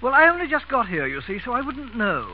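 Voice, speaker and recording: An actor with an upper-class English accent playing a vague, amiable lord in old radio comedy, dry, breezy and bemused. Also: male voice, extremely high pitch, sing-song, fast, heavily acted. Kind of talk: 1940s radio comedy acting. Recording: muffled, hissy old radio broadcast.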